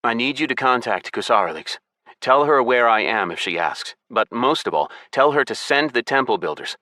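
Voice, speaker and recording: A man's voice: medium pitch, animated, normal speed, sharp tone, dry recording, voice pushed up. Man as an earnest, decisive young hero. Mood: firm, urgent. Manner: earnest, firm, instructing. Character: serious, decisive young male gamer hero.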